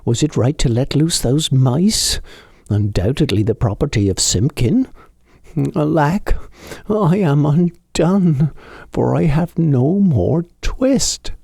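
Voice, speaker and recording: A poor old tailor, put on by a male reader, who frets over his unfinished work in a high, quavering mutter. He drags out his worries slowly and sounds husky, close and dry, like a storybook told at the fireside. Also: sing-song, a little mellow, no room sound, full character voice. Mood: lamenting. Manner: anxious, slow lamenting mutter.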